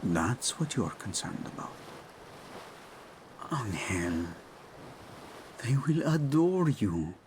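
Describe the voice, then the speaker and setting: A man's voice: lowish voice, big pitch swings, background noise, full character voice. An actor playing a devoted, teasing king and husband in an intimate romantic audio roleplay, speaking tender endearments. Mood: earnest, soothing. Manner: tender, playful, unhurried endearments.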